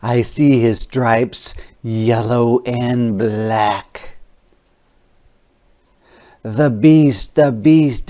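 A narrator reading a children's picture book aloud in an expressive, deliberate, dramatic way. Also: medium pitch.

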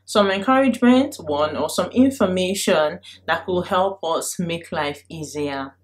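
Young Nigerian female vlogger, low-pitched, direct and emphatic, talking casually to camera from her bedroom. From Lagos, Nigeria. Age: young adult. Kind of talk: casual advice talk to camera. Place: bedroom at home.

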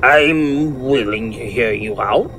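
A man playing a haughty, scheming alien leader in an audio drama, formal and pompous with theatrical, drawn-out phrasing. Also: mid-range voice, fairly bright tone, slight background noise. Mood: sly, interested.

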